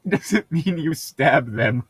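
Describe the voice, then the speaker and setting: A man's voice: a little high, animated, very slow, slightly rough, no echo, clean studio sound. A man co-hosting a comedic read-aloud, bantering casually with a sarcastic, teasing manner and ready laughter. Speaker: co-host of a comedic book-reading show.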